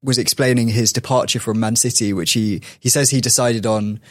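Podcast host, man, mid pitch, dry close sound, chatty storyteller. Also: steady tone, a little fast, no echo. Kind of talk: casual chat.

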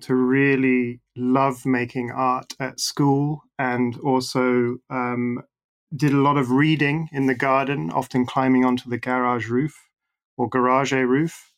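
A young man with a gravelly edge to his voice talks in relaxed, chatty conversation. He pokes fun at himself and often restarts his sentences with small hesitations. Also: medium pitch, slow pace. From born in Singapore, raised in the Netherlands and abroad. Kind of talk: casual conversation between friends.

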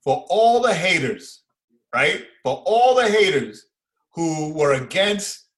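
A man, chatting casually and excitably as a podcast co-host, using slang and repeating phrases for emphasis. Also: on the high side, takes it slowly. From Far Rockaway, New York, United States. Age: 40s. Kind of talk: casual fan chat, reviewing and reacting.

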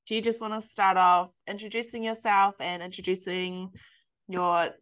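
A woman's voice: medium pitch, little pitch movement, normal speed, fairly bright tone, clear, resonant tone. A woman with a New Zealand accent hosting a podcast interview, sounding stuffy and sniffly, chatting casually with plenty of fillers and restarts. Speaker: podcast host.

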